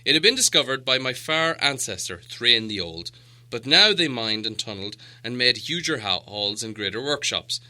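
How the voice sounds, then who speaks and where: A man's voice: medium pitch, very animated, moderate pace, sharp tone. A man voicing a proud, solemn old dwarf leader in an audiobook, with a grave, grandiose storytelling manner. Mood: proud, reminiscing. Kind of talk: audiobook character dialogue.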